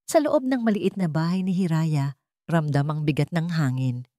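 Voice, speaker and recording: A woman narrates a dramatic story from a script. Her voice sits fairly low, and the recording is dry, with little room sound. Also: normal speed, mellow, dry recording.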